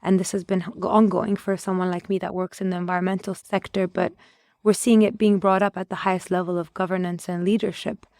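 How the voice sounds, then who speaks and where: Flat-voiced woman from Ethiopia on a podcast. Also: fairly low pitch, a little fast, dry recording, studio quality. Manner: thoughtful, with frequent restarts while searching for words. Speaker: conservation adviser, podcast guest. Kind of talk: reflective interview answers.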